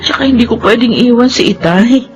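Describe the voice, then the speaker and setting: A woman's voice: a little high, a little fast, muffled recording, faint music behind, natural voice. A woman playing a cautious, tearful wife meeting her reformed husband in a radio drama. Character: wary, loyal wife. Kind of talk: classic radio drama acting.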